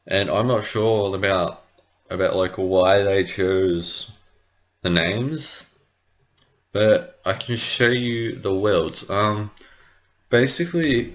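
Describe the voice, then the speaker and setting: A man giving a casual, rambling let's play commentary, hesitant and often correcting himself as he explains. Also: fairly low pitch. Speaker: gaming video host.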